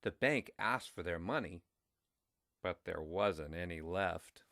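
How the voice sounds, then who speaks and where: A man reading a children's story aloud in a deliberate, expressive way. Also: sits low.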